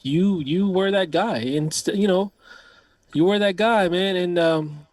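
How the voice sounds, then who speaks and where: A man hosts a relaxed podcast chat, talking in an easygoing, slangy way as he hypes up his guest. His voice sits a little high, and the recording is dry and close. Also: measured pace, no echo. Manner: easygoing hype talk, calls people dog. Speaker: podcast host. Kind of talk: casual chat.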